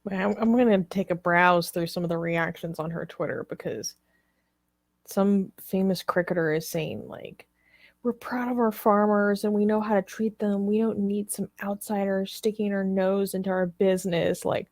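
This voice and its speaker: A woman co-hosting a casual news discussion, speaking haltingly and carefully qualifying what she says. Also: medium pitch, fairly even intonation, darkish tone, dry recording, clean studio sound. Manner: hesitant, careful to qualify claims. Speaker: talk show co-host.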